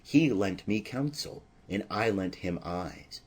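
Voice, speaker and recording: A man voicing an ardent, bold, lovestruck young nobleman in earnest, measured Shakespearean verse. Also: lowish voice, lively intonation, slightly rough, exaggerated voice.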